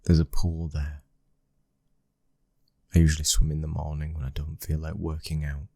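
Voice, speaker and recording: Man playing British mafia prince, bottom-of-the-range pitch, loving yet commanding, breathy, intimate ASMR roleplay, close and dry sound. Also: completely flat delivery, moderate pace, warm tone, dry recording, full character voice. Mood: relaxed, affectionate. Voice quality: breathy, husky. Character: smooth-talking, loving, dominant young mafia boss's son. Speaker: audio roleplay voice actor. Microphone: close microphone.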